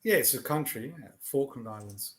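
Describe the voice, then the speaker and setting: A man, teaching over a video call in relaxed, chatty talk. He laughs often and trails off with fillers. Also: medium pitch, normal speed, over a noisy crowd. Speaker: online English tutor. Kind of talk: casual conversation lesson.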